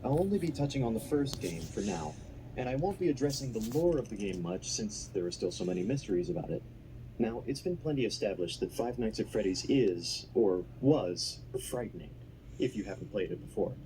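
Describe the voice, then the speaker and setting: A man's voice: lowish voice, lively intonation, brisk, dark tone, a little hiss or hum, poor audio. A man delivering scripted, analytical narration in the style of a video essay.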